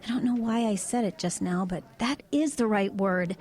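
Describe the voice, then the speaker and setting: A woman's voice: medium pitch, moderate pace, a little mellow, lightly acted. A woman playing a level-headed truck driver in an eerie audio drama, talking in an everyday conversational way. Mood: intrigued, thoughtful. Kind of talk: audio drama dialogue and narration.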